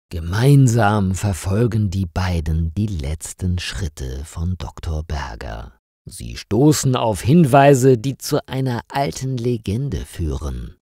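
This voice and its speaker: A man narrating a scripted story for language learners, reading slowly and expressively with dramatic rises. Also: low voice, darkish tone, no echo, studio quality.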